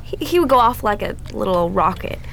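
Woman playing Southern belle, folksy, hesitant, timid and sugary, radio drama, medium pitch, faint background noise. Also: expressive, fairly quick, gravelly. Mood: anxious. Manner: fluttery, folksy, hesitant stammer. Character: frightened, sugary Southern married woman in debt to gambling. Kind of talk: old-time radio drama acting.

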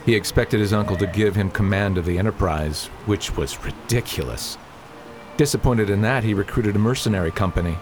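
A man playing a principled young nobleman in a radio drama, speaking formally and earnestly in a measured, argumentative manner. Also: lowish voice, lightly acted. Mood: disapproving. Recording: clearly noisy outdoor ambience.

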